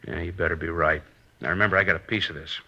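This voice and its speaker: A surly fence in a radio crime drama. He is wary and grudging, in a low, piercingly bright, tense voice. The vintage recording sounds muffled. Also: fairly even intonation, fast, gravelly, full character voice. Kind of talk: old-time radio crime drama acting.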